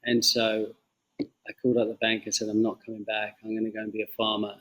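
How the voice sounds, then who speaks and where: Middle-aged London man, halting, emphatic storyteller. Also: on the low side, completely flat delivery, a bit bright, poor audio. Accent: London English. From London, England. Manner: halting, emphatic, repeats phrases. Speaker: interview guest, former banker turned coffee farmer. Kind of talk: reflective personal storytelling in a remote interview. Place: remote video call from Indonesia.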